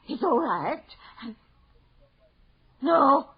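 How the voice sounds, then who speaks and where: Fretful motherly wife, slow pace, very expressive, radio drama, dull old broadcast sound. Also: sits high, full character voice. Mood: distraught. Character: anxious, fussing, motherly working-class wife and mother. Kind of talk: 1980 radio drama acting.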